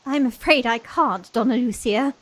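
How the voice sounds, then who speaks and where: A woman's voice: on the high side, normal speed, fairly bright tone. A woman acting a sweet, shy, earnest young Victorian girl in a radio farce, with a polite manner.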